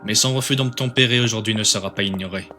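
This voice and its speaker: A man's voice: fairly low pitch, quick pace, pushed and bright, soft background music. A man playing a rigid, menacing agent of the authorities in an amateur webtoon dub, formal and insistent. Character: menacing male authority agent, rigid, coldly polite, disciplinarian.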